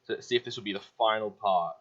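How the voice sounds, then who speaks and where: Low-voiced man giving casual game commentary. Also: quick pace, a bit bright. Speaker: gamer playing and commenting. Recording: dull audio with game music. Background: game music.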